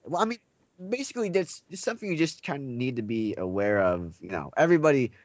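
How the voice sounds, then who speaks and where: A man chats casually as a podcast co-host, telling anecdotes with very lively intonation. He acts out what other people said. The sound is close and dry but dull and lo-fi. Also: mid-range voice, fast, dry recording. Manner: animated storyteller, acts out reported speech.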